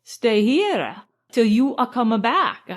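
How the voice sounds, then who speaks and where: A woman's voice: a little high, big pitch swings, slow pace, full character voice. A woman voicing a dapper young foreign count in an audiobook, speaking careful English with a foreign accent, politely and serenely. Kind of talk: audiobook character voice.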